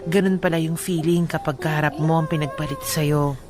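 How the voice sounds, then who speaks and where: A woman's voice: low-pitched, steady tone, fairly quick, lightly acted. A woman with a Filipino accent playing a reflective young woman who recounts her heartbreak in a radio drama. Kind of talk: radio drama narration as a letter writer. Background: music.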